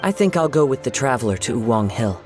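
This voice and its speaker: A man's voice: medium pitch, very animated, fast, over music, natural voice. A man playing a serene, courteous young wandering samurai in an anime game, speaking politely and formally.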